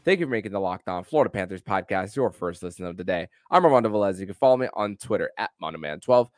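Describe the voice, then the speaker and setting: A man hosting a sports podcast and interviewing, with somewhat halting, stop-start phrasing. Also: deep voice, expressive, no room sound, clean studio sound. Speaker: podcast host.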